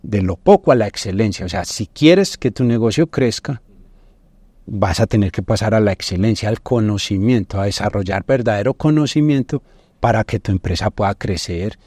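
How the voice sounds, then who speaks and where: A middle-aged Colombian man with a fairly low voice talks as an expert guest on a podcast. He explains didactically, often checking in with short tag questions. The sound is dry and close, from a lapel mic in a studio. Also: moderate pace, darkish tone, breathy edge, no echo. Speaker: guest business finance consultant.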